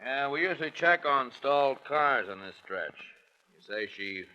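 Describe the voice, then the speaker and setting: An actor playing a folksy, laconic highway patrolman who casually probes, in 1940s radio drama style. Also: lively intonation, normal speed, close to an everyday voice. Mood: casual, probing. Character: folksy, casual male highway patrol cop, quietly probing. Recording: muffled, noisy 1940s radio recording.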